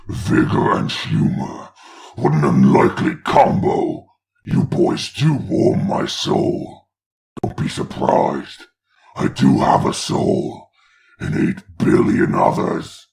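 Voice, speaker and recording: A man voicing an imperious male demon lord of hell in a fan comic dub, raspy and menacing in slow speeches. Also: huge pitch swings, full character voice. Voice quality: deep, raspy.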